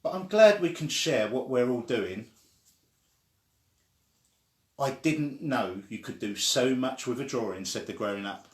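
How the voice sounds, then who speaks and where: A man's voice: mid-range voice, animated, measured pace, long pauses. Man reading a children's story, echoey room. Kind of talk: children's story read aloud.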